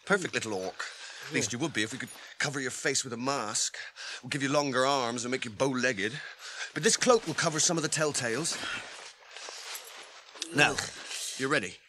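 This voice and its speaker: A man's voice: medium pitch, moderate pace, lightly acted. A man playing a loyal, homely, practical hobbit servant in a radio drama, speaking deferentially with a rural English accent. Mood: practical, bustling. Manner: deferential, plain-spoken, rustic. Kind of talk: British radio drama acting. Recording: slightly noisy archive radio recording.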